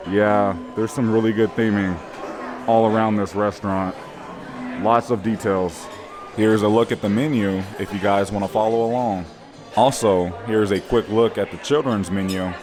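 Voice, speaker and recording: Male vlogger, slightly raspy, chatty and upbeat, recorded outdoors at a park. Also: lowish voice. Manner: enthusiastic, chatty. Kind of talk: upbeat vlog narration.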